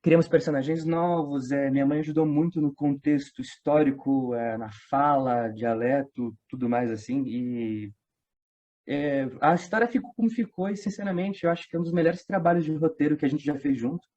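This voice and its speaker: Slightly high-voiced Brazilian man, lo-fi audio. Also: moderate pace. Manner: animated storytelling, acting out quoted remarks in slangy speech. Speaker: guest, audio drama writer and voice-dubbing worker. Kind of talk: casual interview chat.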